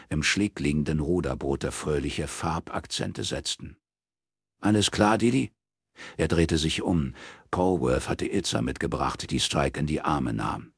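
A male audiobook narrator with an extremely low, husky voice. He reads prose soberly and in measured phrases, close to the microphone in a dry recording. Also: no echo. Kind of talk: scripted literary narration in German.

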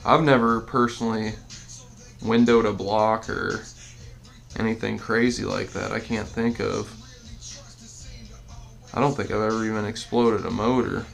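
A man's voice: fairly low pitch, level tone, a little slow, gravelly, long pauses, faint music behind. A man giving a rambling, laid-back walkaround of his home workshop, with many fillers and restarts, recorded on a handheld camera indoors. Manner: laid-back, self-deprecating. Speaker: mini bike builder. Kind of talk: casual walkaround vlog.

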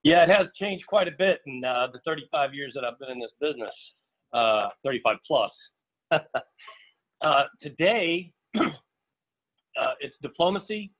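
A middle-aged man, a veteran restaurant chef, answering interview questions in a halting way with many fillers and repeats. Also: fairly high pitch, expressive. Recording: tinny phone-like audio.

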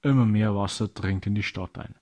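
A man's voice: lowish voice, normal speed, background noise. A man narrating a scripted children's audio story as a storyteller.

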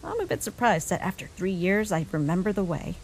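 A woman voicing a caring human child in a comic dub, a protective older sibling who speaks gently and reassuringly. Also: medium pitch, big pitch swings, fairly quick, breathy edge, low-quality recording. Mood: tender.

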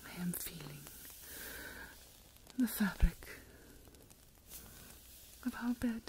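A woman's voice: on the low side, lively intonation, very slow, mellow, slight background noise, poor audio. A woman playing a fretful, melodramatic older Regency mother in a whispered ASMR roleplay, self-pitying and full of dramatic sighs, close to the mic. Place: indoors, lying in bed.

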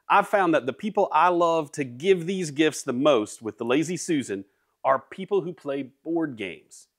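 A man, narrating his woodworking projects in a cheerful, scripted way. His voice sits at an ordinary pitch for a man. The sound is close and dry, with hardly any room echo. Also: a bit bright. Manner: upbeat, jokey how-to delivery. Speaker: woodworking channel host. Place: home woodworking shop.

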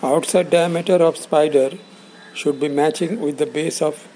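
A man giving a scripted instructional narration in a measured, explanatory way, in short phrases. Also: on the high side, steady tone, warm tone, a little hiss or hum, low-quality recording. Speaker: instructor presenting components.

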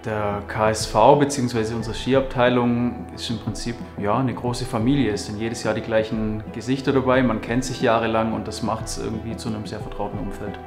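Lowish male interview voice in a roomy space. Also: steady tone, fairly quick, few pauses. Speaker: ski instructor. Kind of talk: interview for a club promotional film. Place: plain indoor studio. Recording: roomy sound with music behind.

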